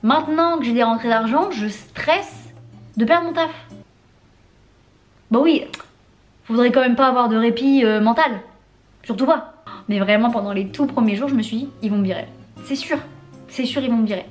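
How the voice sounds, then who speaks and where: Young woman from France, casual slangy vlogger with a highish voice. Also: moderate pace, long pauses, soft background music. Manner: candid and chatty, with slang and frequent laughs. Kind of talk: casual confessional vlog.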